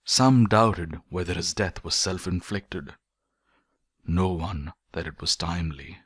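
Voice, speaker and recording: A man reading a philosophical essay as an audiobook narrator, in measured, deliberate phrases with emphatic stresses. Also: low-pitched, breathy edge, dry recording, clean studio sound.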